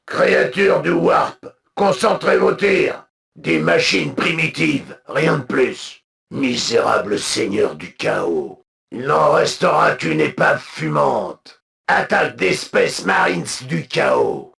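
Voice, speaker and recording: Zealous alien military commander, video game unit lines, heavy rasping creak, imperious, slow and deliberate, clipped orders. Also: male voice, fairly high pitch, sing-song, fairly bright tone, exaggerated voice. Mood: stern, contemptuous, then urgent, battle-ready.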